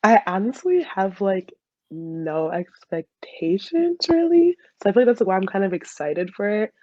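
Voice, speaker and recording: A woman's voice: medium pitch, sing-song, moderate pace, dark tone, no room sound, muffled recording. A young woman in a casual interview as a soccer player guest, peppering her talk with "like". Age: young adult. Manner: heavy use of "like" as she talks.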